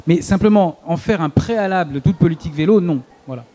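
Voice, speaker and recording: Quick male panelist, mellow and fairly high voice, tumbling repetitions. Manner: rapid, stumbling repetitions. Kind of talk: conference panel talk.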